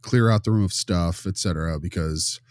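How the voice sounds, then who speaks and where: A man playing a friendly, tactful school consultant in a role-play, halting and often rephrasing his questions. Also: low voice, no room sound, clean studio sound, lightly acted. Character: friendly, tactful male school behavior consultant probing gently. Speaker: visiting behavior consultant.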